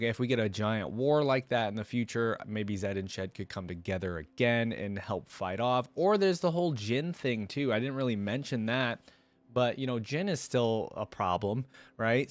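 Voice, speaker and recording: A man's voice: mid-range voice, normal speed, no room sound. A man giving casual, informal reaction commentary, often putting questions straight to his viewers. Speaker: reaction video host.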